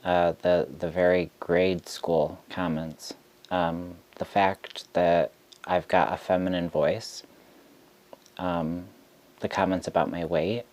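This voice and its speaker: A man who reads tarot, speaking straight to camera in a frustrated rant, deliberate and halting with frequent ums. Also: deep voice, flat delivery, darkish tone. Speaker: tarot reader.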